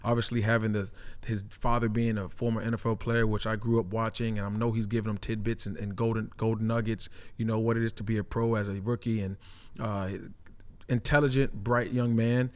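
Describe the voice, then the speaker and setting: A man's voice: on the low side, little pitch movement, fairly quick. A man co-hosting a sports show as a former player, giving analysis and asking questions conversationally.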